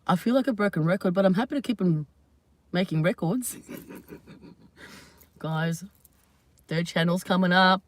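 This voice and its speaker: A woman hosting her own channel, chatting casually and at length to her viewers, slightly hoarse, rambling and laughing often. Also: lowish voice, measured pace, pauses often. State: hoarse from screaming. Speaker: channel host. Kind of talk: casual live vlog chat to viewers.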